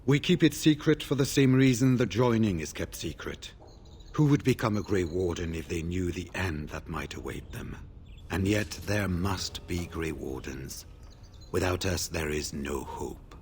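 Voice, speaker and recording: A man playing a grave, weary older warden in a video game, speaking in a formal, measured way. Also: low-pitched, a little mellow, slight background noise. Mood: solemn, resolute. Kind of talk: scripted video game dialogue.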